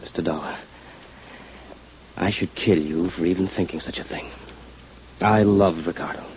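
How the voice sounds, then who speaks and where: Low male voice, sensitive anguished musician, halting fervent repetitions, vintage radio melodrama, muffled noisy recording. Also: fairly even intonation. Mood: fervent, wounded. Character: anguished, passionate male violinist, proud and sensitive. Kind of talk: 1950s radio drama acting.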